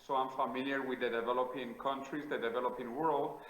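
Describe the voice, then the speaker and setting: A man with a Spanish accent, speaking English as a second language, answers interview questions in a measured, declarative way with plenty of small pauses. His voice sits at a middle pitch and carries a noticeable roomy echo, as if recorded over a call in a bare room. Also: steady tone, a bit bright. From South America. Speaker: guest, futurist.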